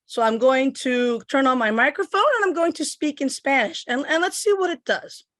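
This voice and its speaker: A woman's voice: high voice, normal speed, fairly bright tone, dry recording, studio quality. A middle-aged woman from Puerto Rico giving a step-by-step tech demo at her computer, explaining each click as she goes. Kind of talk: instructional screen demo. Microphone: computer microphone.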